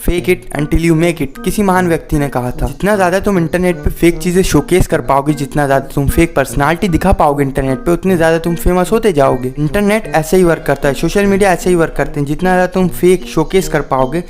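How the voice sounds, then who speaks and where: A man's voice: fairly high pitch, a little fast, hardly any pauses, a little mellow, soft background music. A man in his early twenties with an Indian accent, hosting a sarcastic, mock-earnest comedy monologue and switching into English for emphasis. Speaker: video host.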